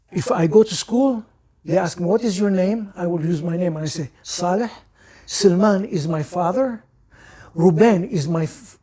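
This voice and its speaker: An older man born in Iraq, whose first language is Judeo-Arabic, recalling his childhood as an interview guest in halting speech full of restarts. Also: sits high, mellow. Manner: halting, many restarts and repeated words. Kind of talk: personal recollection.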